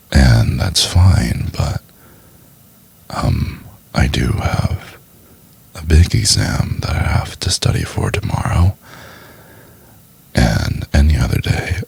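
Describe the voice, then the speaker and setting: A man playing a doting, teasing boyfriend in an intimate ASMR roleplay, murmuring in a husky, halting half-whisper. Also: a bass voice, full character voice. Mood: gently firm. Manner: slow, halting, murmured delivery with pauses. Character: doting, teasing boyfriend trying to study for exam.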